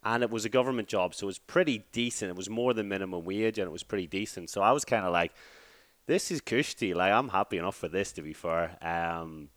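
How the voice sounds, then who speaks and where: An Irish man in his thirties, a fitness coach telling his story as a podcast guest in a relaxed, rambling way. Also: sits low, brisk, no room sound. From Ireland. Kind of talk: casual interview chat.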